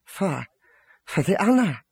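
A man's voice: high voice, sing-song, slow pace, gravelly, a little mellow, breathy edge, no echo, studio quality, voice pushed up, heavily acted. A man playing a proud, fatalistic young woman warrior in an audiobook, terse and defiant.

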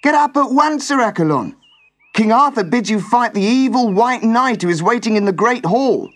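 A man doing a frantic high-voiced servant. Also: lively intonation, moderate pace. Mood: urgent. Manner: urgent, pressing. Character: urgent young male servant, anxious. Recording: soft music underneath.

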